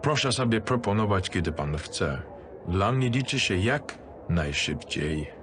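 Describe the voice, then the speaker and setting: A man acts out a brusque dignitary in a dark fantasy audio drama. His voice is on the low side, cool and haughty, used to making demands. Light background noise runs underneath. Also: animated, a little slow. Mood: curt, impatient.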